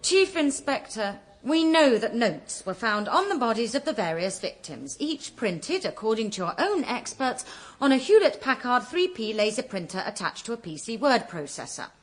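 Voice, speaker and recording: A withering British woman barrister, with a highish, very expressive voice. She cross-examines precisely and sarcastically, in radio comedy style. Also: moderate pace. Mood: sarcastic. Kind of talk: radio comedy drama acting.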